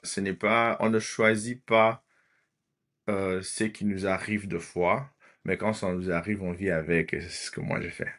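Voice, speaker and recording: A man's voice: sits low, fairly even intonation, measured pace, a bit bright. A man in his thirties from Congo with a Congolese French accent and Lingala as his first language, a podcast guest speaking hesitantly with many fillers and English words mixed in. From Democratic Republic of the Congo. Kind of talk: casual interview talk.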